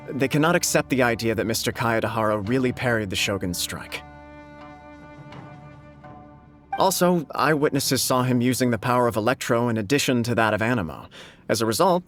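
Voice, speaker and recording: A man playing a dignified shogunate official in a video game, speaking in a measured, diplomatic, formal way. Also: mid-range voice, close to an everyday voice. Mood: diplomatic, persuasive.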